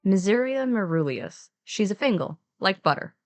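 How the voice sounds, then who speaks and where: A woman's voice: mid-range voice, sing-song, normal speed. A woman co-narrating a scripted story in a conversational, playfully bantering way. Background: plucked guitar music.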